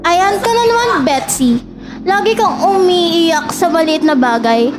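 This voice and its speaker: A young woman plays a dismissive, mocking skeptic in a theatrical comedy. Her voice is very high, with a Filipino accent and drawn-out sarcastic emphasis. Music is loud around her. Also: animated. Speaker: student stage actor. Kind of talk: theatrical one-act comedy stage acting.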